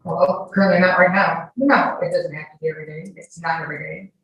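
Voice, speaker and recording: A woman's voice: fairly low pitch, steady tone, a little fast, highs cut off. A woman answering questions as a witness in court, emphatic and repeating her denials. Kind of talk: answering questions under examination. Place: indoor courtroom. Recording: echoey, distant mic, faint noise.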